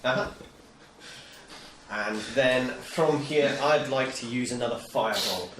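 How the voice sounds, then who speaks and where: A man's voice: medium pitch, measured pace, a bit bright. A man taking part in a tabletop game as a player, talking casually. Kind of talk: casual chat during a game. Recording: distant mic, echoey, clear background noise.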